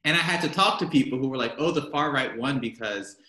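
A man's voice: medium pitch, fast, pushed and bright, reverberant. An American man chatting casually as a guest, telling stories animatedly and laughing often. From United States. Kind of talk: casual chat. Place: home in Berlin.

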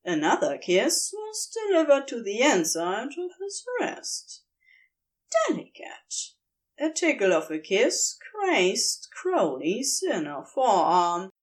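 A woman reading a fan fiction story aloud with gentle, expressive storytelling. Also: fairly high pitch, takes it slowly, hardly any pauses. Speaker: podfic reader. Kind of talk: unhurried fan fiction narration.

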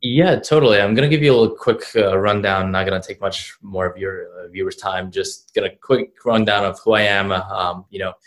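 A man's voice: lowish voice, a little fast, few pauses. A nineteen-year-old man from Russia, answering interview questions casually, rambling with lots of fillers like "you know" and "right?". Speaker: guest entrepreneur.

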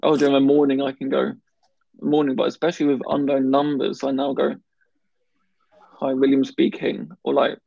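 A man chatting casually in a flat, gravelly voice, stammering and restarting on certain words. The sound is dry and a little dull, with no room in it. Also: medium pitch, moderate pace, no echo. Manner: stammers, blocks on some words, many restarts. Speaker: guest talking about his stammer. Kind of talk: casual chat.

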